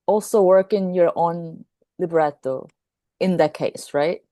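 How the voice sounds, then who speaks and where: A woman's voice: on the low side, unhurried, warm tone, dry recording. A woman interviewer who asks questions and gives frequent encouraging murmurs of agreement. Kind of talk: conversational interview.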